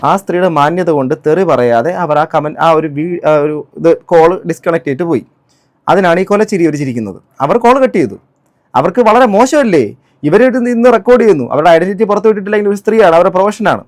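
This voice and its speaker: A man's voice: sits high, lively intonation, fast talker, darkish tone. A man giving spoken commentary on a clip, indignant and full of rhetorical questions. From Kerala, India. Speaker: reaction vlogger.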